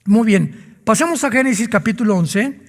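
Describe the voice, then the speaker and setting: A man's voice: sits high, animated, normal speed, big-room sound. A man preaching a sermon as a pastor, emphatic and full of rhetorical questions.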